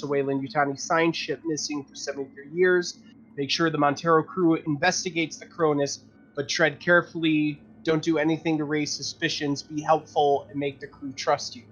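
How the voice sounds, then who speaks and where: A man's voice: on the high side, fairly bright tone. A man talking casually as a tabletop game player, informal and rambling in his delivery. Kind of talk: casual game debrief, sometimes reading notes aloud. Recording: dull lo-fi audio with faint noise.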